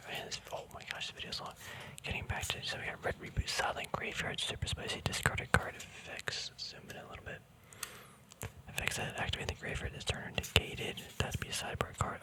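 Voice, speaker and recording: Whispering man, casual ASMR ramble. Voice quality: soft breathy unvoiced whisper. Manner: relaxed, unhurried thinking aloud. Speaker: ASMR video creator.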